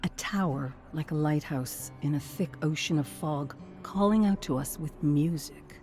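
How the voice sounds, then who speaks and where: An actress playing a composed expedition leader, reading a game journal in a measured, formal, matter-of-fact way. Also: female voice, low-pitched, dark tone, lightly acted. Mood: measured, intrigued. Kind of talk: scripted dramatic journal narration. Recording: music behind the voice. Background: music.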